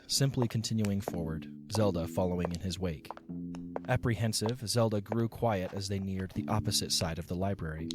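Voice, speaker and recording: Male narrator, lowish, steady storybook read, music under. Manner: even, descriptive reading.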